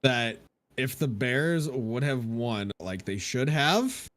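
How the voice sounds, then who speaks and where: A man's voice: mid-range voice, expressive, takes it slowly, a bit bright. A man co-hosting a football talk show, chatting informally. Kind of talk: casual sports chat.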